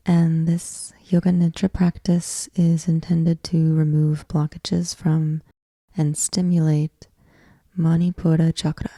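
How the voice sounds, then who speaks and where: A woman leads a guided meditation with a low-key, even delivery that barely moves in pitch. Her voice is soft-edged and mellow, with a creaky fry in it. She gives each instruction deliberately and leaves space after it. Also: no echo, clean studio sound. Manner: measured, deliberate phrasing with long pauses. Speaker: yoga nidra teacher guiding a meditation.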